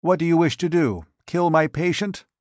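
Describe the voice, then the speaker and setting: A man's voice: a little high, moderate pace, a little mellow, no room sound, voice pushed up, natural voice. A man doing a cold, curt scientist. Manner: curt, clipped. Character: cold, hard male scientist.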